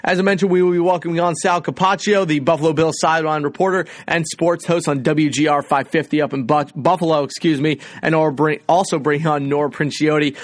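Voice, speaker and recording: A man's voice: on the high side, no room sound, clean studio sound. A man hosting a sports podcast with casual, emphatic, conversational commentary.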